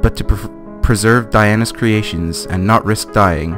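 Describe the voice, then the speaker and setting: A man giving a theatrical, deliberate dramatic reading of a fantasy guidebook. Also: lowish voice. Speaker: let's play gamer. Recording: guitar music behind voice. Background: guitar music.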